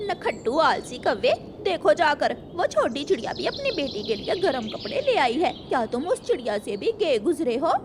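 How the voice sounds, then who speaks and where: A woman voicing a bossy crow wife in a cartoon, scolding and nagging loudly. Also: high-pitched, big pitch swings, moderate pace, hardly any pauses, background noise. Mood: scolding, angry.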